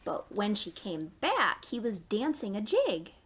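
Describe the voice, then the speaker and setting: A woman hosting a storytime for young children, reading stories and giving simple, clearly phrased instructions. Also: mid-range voice, huge pitch swings, measured pace. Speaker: children's library storytime host.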